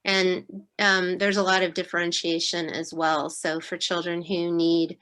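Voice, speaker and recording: A woman fifth grade teacher explaining her curriculum at a virtual open house, in a measured way with many fillers. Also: lowish voice, flat delivery, a bit bright, clear, resonant tone. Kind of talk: school open house presentation. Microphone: video call.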